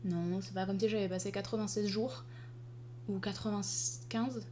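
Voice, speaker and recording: A young woman from France, an artist, chatting casually to her viewers in an exasperated, lively way full of fillers. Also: mid-range voice, moderate pace, a little hiss or hum. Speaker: artist and video creator. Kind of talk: casual chat to the camera.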